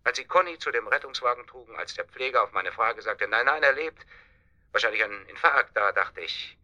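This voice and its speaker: Sharp-voiced, calculating false friend. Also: male voice, mid-range voice, expressive, brisk. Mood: tense, confessing. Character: calculating, self-justifying false friend, a cold manipulator. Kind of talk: German radio drama acting.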